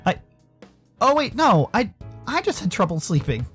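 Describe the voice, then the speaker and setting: A young, unsure animal-boy hero of a visual novel, voiced by a man, speaking English. He hesitates and restarts, his pitch moving up and down a lot. Faint music sits underneath. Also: sing-song, a bit bright. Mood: awkward. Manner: halting, unsure. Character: anxious, hesitant young male furry protagonist.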